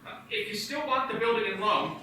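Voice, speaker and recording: A man's voice: high voice, bright, forceful tone, a little hiss or hum. A desperate young family man. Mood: pleading. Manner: pleading, halting. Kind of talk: live radio play acting. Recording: echoey hall, voice from far off.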